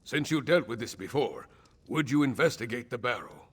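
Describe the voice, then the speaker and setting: An actor playing a proud, weary, aging northern jarl in a video game, blunt and sardonic, with a gritty voice. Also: male voice, mid-range voice, expressive, normal speed, breathy edge, long reverb tail. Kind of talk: video game character acting.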